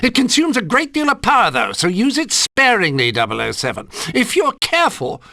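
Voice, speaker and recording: An actor playing a fussy, proud gadget inventor who briefs a spy precisely in a video game. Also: male voice, high voice, huge pitch swings, fairly quick, pushed and bright, no room sound, clean studio sound, heavily acted. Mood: briskly explanatory. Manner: precise, instructive, slightly fussy.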